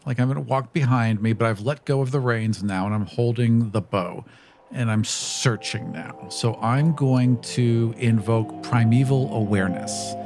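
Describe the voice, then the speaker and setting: A man with a medium-pitched voice plays a tabletop roleplaying game. He talks casually as a player, with music behind him. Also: darkish tone.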